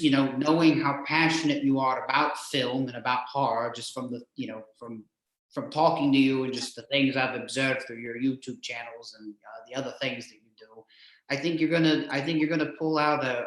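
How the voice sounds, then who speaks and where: A man hosting a casual interview in a hesitant, halting way, often repeating his words, in a very echoey recording. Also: mid-range voice, steady tone, a bit bright. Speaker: show host, interviewer. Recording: very echoey, reverberant room sound.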